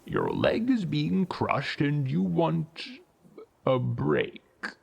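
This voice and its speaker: A man voicing an obtuse, stubborn talking rock with an earthy, solemn, drawn-out voice in an audiobook story. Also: a little high, wildly swooping pitch, creaky, slightly rough, full character voice. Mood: mocking. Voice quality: earthy, dull echoing voice. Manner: slow, solemn, drawn-out delivery.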